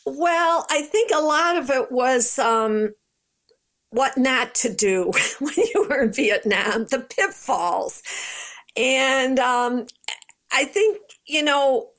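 An American woman in her seventies from New York state, recalling her past in an interview in an unhurried, conversational way. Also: sits high, fairly bright tone. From Rochester, New York, United States. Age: 70s. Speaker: interviewee, Red Cross veteran. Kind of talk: personal recollection in an interview.

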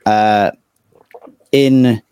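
Low-voiced southern English man, casual. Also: fairly even intonation, very slow, warm tone, smooth, no echo. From England. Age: 30s. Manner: informal, rambling, hesitant phrasing. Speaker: interview guest, metal band vocalist. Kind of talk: casual chat.

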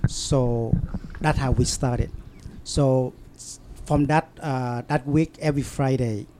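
A man in an interview, telling a story in halting, broken English with many fillers and restarts. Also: medium pitch, steady tone, warm tone. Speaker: interview guest. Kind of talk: casual storytelling.

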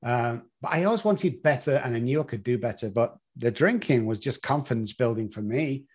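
A middle-aged man with a Manchester accent, a guest telling his life story in an animated, rambling way with many fillers. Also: mid-range voice, brisk, slightly rough. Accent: Mancunian English. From Manchester, England. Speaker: guest, addiction consultant. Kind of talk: conversational storytelling.

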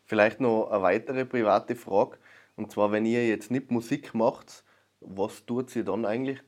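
A man speaks as a podcast interviewer. His voice is a little on the low side. He puts his questions in a casual, conversational style. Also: steady tone, a little slow.

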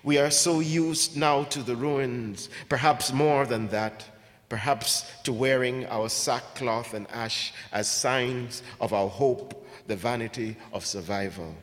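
Guy from ghana reading his poems, measured and deliberate, a bit halting between them, lots of hall reverb. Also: medium pitch. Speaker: poet. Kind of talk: poetry reading with spoken introductions.

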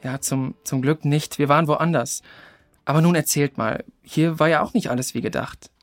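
Gentle, thoughtful friendly guy played by a man. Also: on the high side, fairly even intonation, normal speed, no room sound, clean studio sound, faint music behind, natural voice. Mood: earnest, relieved. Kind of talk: children's audio drama acting.

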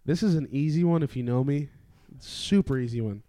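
A man chatting casually, telling stories in an animated, slangy way. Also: mid-range voice, fairly quick, no echo. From born in the Philippines. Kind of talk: casual chat.

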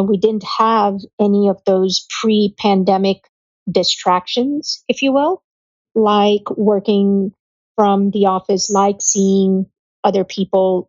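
A woman in her thirties from Kenya, a podcast guest speaking in a steady, reflective way. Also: mid-range voice, no echo. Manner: steady, reflective, even-toned. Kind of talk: casual interview chat. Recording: dry, dull lo-fi sound.

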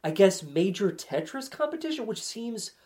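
A man's voice: high-pitched, sing-song, moderate pace, darkish tone. A man chatting casually and comedically about movies, with a sardonic manner and casual swearing. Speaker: podcast guest reviewing movie trailers.

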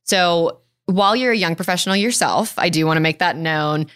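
A woman's voice: lowish voice, a little fast, fairly bright tone, clean tone. A young woman hosting an interview podcast, upbeat and encouraging, often affirming her guest. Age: young adult. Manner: upbeat, frequent listening affirmations. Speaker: podcast host.

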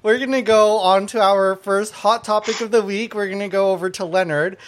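A woman from Thailand hosting a casual podcast, blunt and quick to laugh, with the odd curse. Her voice sits at a middling pitch. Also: steady tone. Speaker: podcast host.

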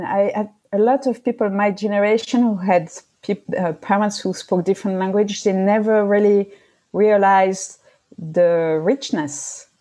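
A woman's voice: mid-range voice, a little mellow, low-quality recording. A middle-aged woman from Switzerland, talking thoughtfully in an interview with frequent restarts. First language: French. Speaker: guest. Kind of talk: casual interview conversation.

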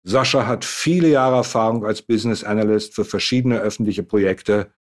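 Ein Mann, der als Gastgeber eine Online-Panel-Fragerunde moderiert und die Gäste förmlich und gemessen vorstellt. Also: male voice, on the low side. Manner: introduces guests in a measured, formal tone. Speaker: host.

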